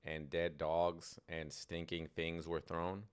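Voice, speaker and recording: A man's voice: sits low, monotone, slow, clean tone. A man hosting a podcast, reading old texts aloud and joking with guests.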